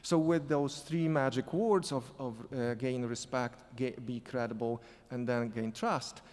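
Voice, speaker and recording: A man speaking English with a non-native accent, telling a story on a conference panel, halting and restarting often. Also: medium pitch, fairly even intonation, a little mellow. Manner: halting, many restarts and fillers. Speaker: sport psychology consultant on a panel. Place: conference hall. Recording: echoey hall reverb.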